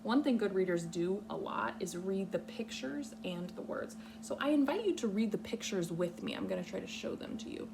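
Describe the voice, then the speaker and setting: A woman with a mid-pitched, noticeably creaky voice leads a read-aloud lesson for small children. Her phrasing is simple, patient and instructional, like a kindergarten teacher's. A clear background noise and hum sit behind her. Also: lively intonation, normal speed, hardly any pauses. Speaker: kindergarten teacher reading a picture book aloud.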